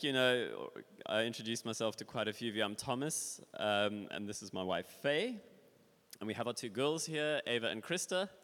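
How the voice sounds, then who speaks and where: A man in his forties from Africa, sharing his testimony at a church service in a relaxed, rambling way. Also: mid-range voice. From South Africa. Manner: casual, rambling, with fillers and laughs. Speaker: visiting speaker. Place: church hall. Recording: long hall reverb.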